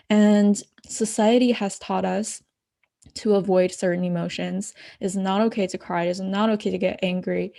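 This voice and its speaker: A woman's voice: mid-range voice, creaky, mellow, no echo, clean studio sound. A woman guest on a podcast interview, giving an earnest, flowing explanation. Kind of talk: reflective interview answer.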